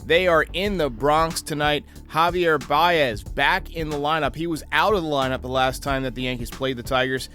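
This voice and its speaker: Higher-voiced man hosting sports commentary over music. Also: normal speed, few pauses, bright, forceful tone. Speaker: host of a baseball fan channel. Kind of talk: sports commentary, series preview and prediction.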